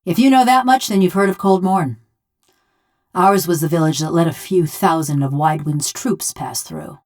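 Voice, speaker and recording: A rustic killer's soul in a golem body, speaks. She is sardonic and plain-spoken, with a dry, vengeful edge. The delivery is video game character dialogue. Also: darkish tone. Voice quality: low-pitched, folksy rural speech. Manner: wry, plain-spoken, dryly mocking.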